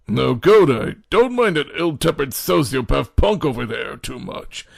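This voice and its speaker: A stern, sardonic schoolteacher played by a man with a gravelly voice of medium pitch, closely and dryly recorded. He is formal and cutting, as heard in an anime audio drama. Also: big pitch swings, measured pace, no room sound, studio quality, heavily acted.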